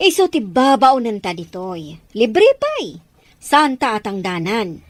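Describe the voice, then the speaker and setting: A woman's voice: a little high, huge pitch swings, measured pace, no room sound, full character voice. A woman acting a bossy, scheming, possessive female character in an Ilocano audio drama, whiny and insistent. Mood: scheming, irritated.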